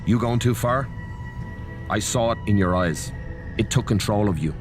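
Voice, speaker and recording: An actor plays a cautious male mentor in a wrestling video game. His voice is very low, with a grave and measured delivery, as if always warning. Music runs clearly behind him. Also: lightly acted. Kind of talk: video game cutscene acting.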